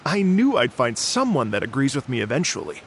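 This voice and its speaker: A gravelly, weary bard. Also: male voice, mid-range voice, big pitch swings, fairly bright tone, slight background noise. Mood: eager. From Fontaine. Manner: measured, earnest storytelling. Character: weary middle-aged male bard, earnest storyteller. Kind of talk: video game dialogue.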